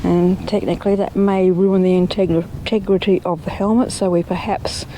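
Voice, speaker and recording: A woman's voice: fairly low pitch, flat delivery, fairly quick, mellow, slight background noise, rough recording. A woman presenting a vlog in a casual, explanatory way, talking plainly and step by step. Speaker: presenter of a recumbent trike vlog. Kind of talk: casual explanatory demonstration and chat. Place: outdoors.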